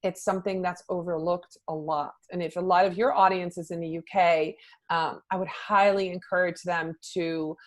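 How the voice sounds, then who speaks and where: A woman's voice: lowish voice, steady tone, moderate pace. A middle-aged woman from the Netherlands, a social media consultant, answering interview questions in a chatty way with many fillers.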